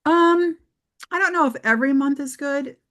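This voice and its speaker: A woman answering questions on a team video call in a relaxed, matter-of-fact way. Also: high-pitched, moderate pace. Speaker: team member and guest. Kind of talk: casual chat on a video call.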